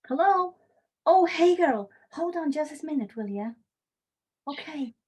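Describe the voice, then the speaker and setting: Very high, swooping breezy mother played by a woman. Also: expressive, unhurried, a little mellow. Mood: breezy, casual. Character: chatty, breezy mother on her phone. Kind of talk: screenplay table read.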